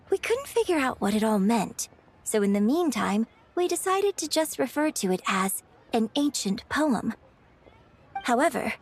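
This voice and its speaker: An actress playing a polite, gentle young lady in a video game, courteous and patient as she explains things. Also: high-pitched. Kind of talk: English video game voice acting. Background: game music.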